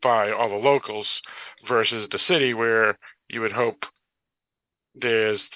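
A man's voice: lowish voice, fairly even intonation, slow pace, dry recording. A man with a Boston accent co-hosting a casual podcast discussion, explaining things in a rambling, hedging way. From Boston area, United States. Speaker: podcast co-host. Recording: dull lo-fi podcast audio.

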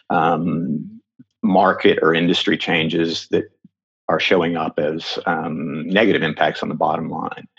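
A middle-aged American man from Florida, a strategy consultant answering interview questions in a measured, thoughtful way with frequent ums and self-corrections. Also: low voice, slightly rough, highs cut off. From Florida, USA. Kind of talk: podcast interview.

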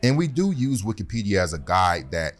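A man hosting a podcast, narrating a scripted script in an earnest, steady way. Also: fairly low pitch, brisk. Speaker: podcast host. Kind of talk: scripted narration and casual reactions.

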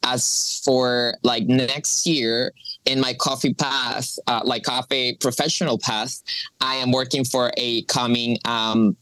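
Halting woman, dry sound. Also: a bass voice, flat delivery, fairly bright tone, dry recording, low-quality recording. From Colombia. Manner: halting, searching for words with many restarts. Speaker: coffee professional, guest. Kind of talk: interview conversation.

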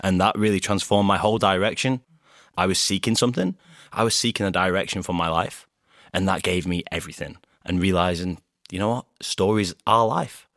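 A man from Bolton with a Bolton accent, a podcast guest telling stories in animated casual chat and acting out his own quotes. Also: low-pitched, brisk, dry recording, studio quality. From Bolton, England.

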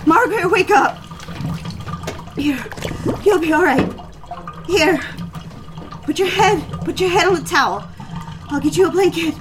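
An actress playing a frantic, devoted young secretary in an audio drama, pleading in halting, breathless phrases. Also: female voice, extremely high pitch, noisy background. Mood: panicked, pleading.

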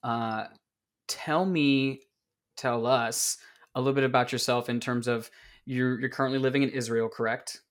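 A man hosting a casual interview, asking questions in a relaxed, conversational way. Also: mid-range voice, moderate pace.

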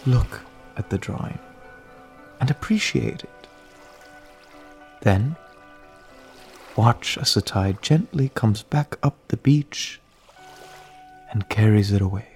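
A man performing a gentle, caring relaxation guide with a low voice. He leads a guided meditation very slowly, calm and reassuring, leaving room between phrases. Soft music plays behind him. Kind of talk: guided meditation, slow soothing script.

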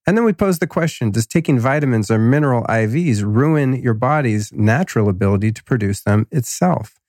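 A man's voice: medium pitch, expressive, brisk, darkish tone, no echo, clean studio sound. Laid-back slangy man, a bit nasal. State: slightly nasal from a cold. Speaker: podcast host. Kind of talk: casual podcast intro and ad reads.

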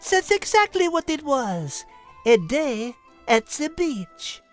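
A woman's voice: sits high, sing-song, slow pace, soft background music, heavily acted. A woman playing a dainty, witty, teasing French cat with a French accent in a children's audio drama. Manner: polite, playful, peppered with French phrases.

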